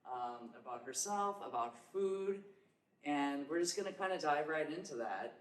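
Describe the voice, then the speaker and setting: A woman's voice: sits low, expressive. Woman host, chatty and upbeat, roomy echo on the mic. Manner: upbeat, chatty, quick asides. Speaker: podcast host. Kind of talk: casual interview chat. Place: Los Angeles. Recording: roomy echoey room.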